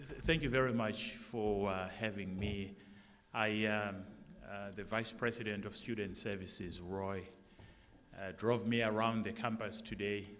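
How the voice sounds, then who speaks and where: A middle-aged man with a South African accent, speaking haltingly at a formal forum as a candidate for college president. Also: lowish voice, fairly bright tone. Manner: halting, with many restarts. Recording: long hall reverb.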